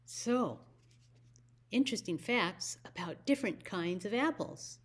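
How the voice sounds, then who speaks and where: A woman with an American accent hosting a children's story time, speaking deliberately as if to young listeners. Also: medium pitch, animated, breathy edge. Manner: slow, deliberate phrasing with questions to young listeners. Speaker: library story time host.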